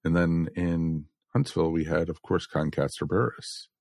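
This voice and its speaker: A man's voice: sits low, fairly even intonation, moderate pace, gravelly, a little mellow, no room sound, studio quality. An American man from the Southeast co-hosting a podcast, chatting casually and addressing listeners in a folksy way. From southeastern United States. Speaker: podcast co-host. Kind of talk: casual chat.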